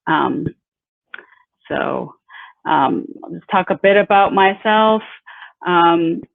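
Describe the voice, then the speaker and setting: A woman in her thirties from Peru, an engineer giving an informal talk about her company, halting with many fillers and restarts. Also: lowish voice, expressive, creaky, no echo. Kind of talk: informal presentation. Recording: tinny phone-like audio, dry.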